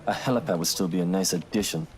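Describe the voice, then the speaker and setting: An actor playing a rich, blasé husband in a visual novel game. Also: male voice, low voice, quick pace, natural voice.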